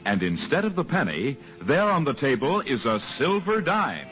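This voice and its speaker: A man's voice: mid-range voice, sing-song, moderate pace. Male American announcer for old-time radio ad, swooping emphatic delivery, muffled sound with prominent music. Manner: emphatic, salesmanlike. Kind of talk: vintage radio sales pitch. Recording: muffled vintage radio recording over music.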